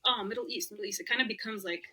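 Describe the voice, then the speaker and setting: A young woman with a bright, clear voice. Her is Arabic, but she talks easily in English. She is chatty and laughs often as a podcast guest. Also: medium pitch, racing. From Lebanon. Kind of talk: casual interview chat.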